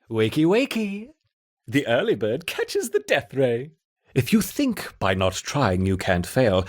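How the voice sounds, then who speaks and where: Husky, wildly swooping mad scientist. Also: male voice, medium pitch, exaggerated voice. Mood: mocking menace. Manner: theatrical, coaxing and menacing, cackling delivery. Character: gleeful, obsessive mad scientist addressing experimental ants. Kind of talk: video game character monologue.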